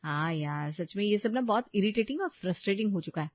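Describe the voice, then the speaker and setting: A woman's voice: medium pitch, expressive, fast, no room sound, dull audio, close to an everyday voice. Woman plays young working daughter-in-law, cartoon story; polite yet exasperated, chatty, dry close sound with dulled top. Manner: complaining, chatty.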